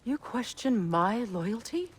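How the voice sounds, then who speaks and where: A woman voicing a proud, wounded and steadfast queen in a video game, measured and dramatic. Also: on the high side, lively intonation, slightly rough, heavily acted. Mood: indignant.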